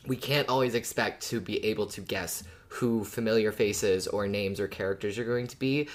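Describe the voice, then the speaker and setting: Animated, emphatic podcast co-host. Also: medium pitch. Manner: animated, sweary, emphatic repetition. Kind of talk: casual fan discussion.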